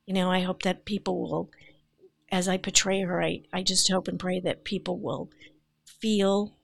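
A woman's voice: on the low side, level tone, normal speed, vocal fry, dry recording. An older woman speaking as an actress guest on a podcast, talking in an earnest, heartfelt way. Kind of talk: casual podcast chat.

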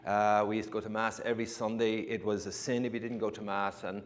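A man's voice: on the low side, level tone, quick pace. A man in his fifties with an Irish accent, telling his life story to a congregation in a chatty way, with humorous asides and frequent restarts. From Ireland. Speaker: guest giving a testimony. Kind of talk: personal testimony told to a congregation. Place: church sanctuary. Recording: church hall reverb.

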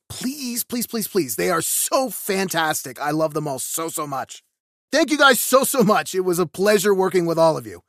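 A woman's voice: lowish voice, no room sound, clean studio sound. A woman reading podcast credits and thanks in an effusive way.

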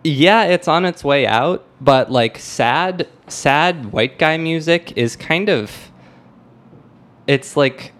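A man's voice: fairly high pitch, lively intonation, slow pace, a bit bright. A young American man hosting a podcast, chatting casually about music in a rambling, hesitant way that often circles back on itself. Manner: rambling, hesitant, self-interrupting. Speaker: podcast host.

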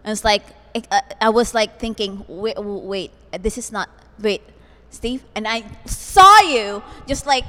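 A woman's voice: mid-range voice, unhurried, sharp tone. A woman guest telling a story in a chatty way, hesitating often with "like". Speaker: guest, alumna. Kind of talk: casual interview chat. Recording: reverberant hall.

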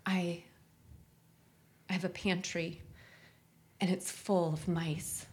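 A woman playing an eccentric character paranoid about mice in a comedy radio play, halting and intense. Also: fairly low pitch, level tone, a little mellow. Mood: sheepish, odd.

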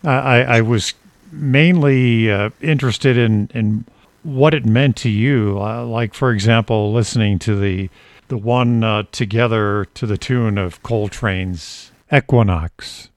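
A man hosting a conversational interview, putting his questions in a tentative, halting way. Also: on the low side, no echo. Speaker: interviewer, show host.

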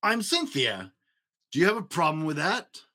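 A man voicing a tough female storybook monster with a raspy voice and a curt, snappy manner. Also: a little high, sing-song, bright, forceful tone, heavily acted. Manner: curt, snappy, slow drawn-out menace.

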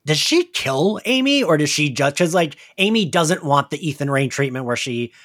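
A man's voice: fairly high pitch, lively intonation, normal speed, a bit bright. Chatty man co-hosting a casual recap podcast. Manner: chatty, frequent "like" and trailing asides. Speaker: podcast co-host. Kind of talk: casual chat recapping an audio drama.

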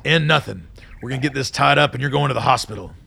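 An actor in a radio drama playing a blunt, profane old army colonel with a gravelly voice, ornery but philosophical and loyal. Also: male voice, medium pitch, fast talker, pushed and bright, a little hiss or hum. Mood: urgent, reassuring. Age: older. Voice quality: gravelly, creaky.

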